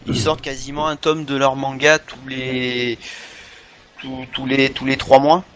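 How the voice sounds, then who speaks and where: A man's voice: mid-range voice, flat delivery, unhurried, a bit bright, slight background noise. A man in a casual podcast discussion, often repeating himself as he searches for words. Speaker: podcast co-host.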